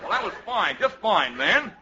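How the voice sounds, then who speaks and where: An actor playing a blustery, pompous ice cream sales boss in a 1950s radio comedy, with a falsely hearty pep-talk delivery. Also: male voice, high-pitched, big pitch swings, moderate pace. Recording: noisy, dull 1950s radio recording.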